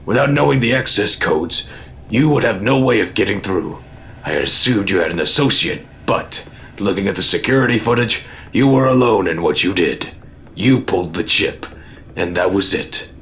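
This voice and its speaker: A somewhat low male voice acted by a man of a stern, skeptical admiral. He speaks formally and with authority in a military audio drama, over faint noise. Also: expressive, moderate pace, a bit bright.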